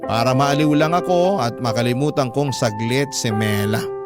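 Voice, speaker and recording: A man's voice: medium pitch, moderate pace, music in the background, lightly acted. An actor playing a sentimental young man who narrates his love story for a radio drama in an earnest, confiding way. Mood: reflective, grateful. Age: young adult.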